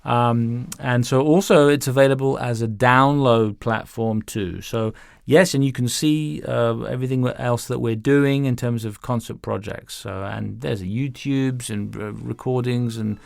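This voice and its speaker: A man speaks as an interview guest in a conversational way, with a mid-pitched voice that has a touch of gravel. He phrases things haltingly, doubling back on words as he explains. The sound is dry and close. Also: fairly even intonation, dry recording. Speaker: music director, interview guest.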